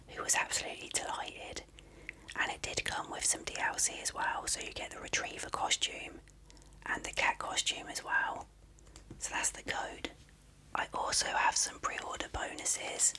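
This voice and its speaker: Whispering ASMR presenter, unvoiced and breathy. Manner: soft-spoken, unhurried, explanatory. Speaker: video presenter. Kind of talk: ASMR whispered unboxing narration.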